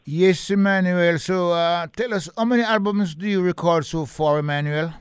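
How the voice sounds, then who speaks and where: A man's voice: sits high, normal speed, clear, resonant tone, no echo, clean studio sound. A man hosting a radio interview, chatty and casual, often repeating himself. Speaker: radio host.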